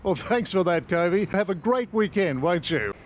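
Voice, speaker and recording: A man's voice: sits high, lively intonation, normal speed, a little hiss or hum. An Australian man working as a TV reporter, doing light, chummy local interviews in an informal way. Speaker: TV news reporter.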